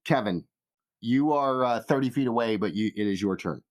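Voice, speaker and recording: A man's voice: medium pitch, expressive, normal speed. A man running a tabletop fantasy game as game master, narrating the action.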